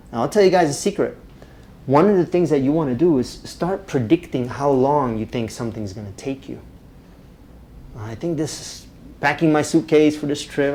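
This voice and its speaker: A man from Romania, teaching a live public speaking workshop, energetic and often checking in with the group. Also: medium pitch, a little hiss or hum. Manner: energetic, checks in with "right?" constantly.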